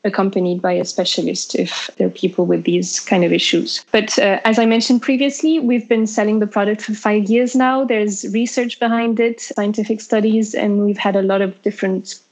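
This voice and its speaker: A woman with a French accent talks through a product's benefits point by point. Her voice sits at an ordinary middle pitch, and she pads her explanations with small fillers. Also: steady tone, normal speed, few pauses, darkish tone. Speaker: product representative. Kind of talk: interview answers.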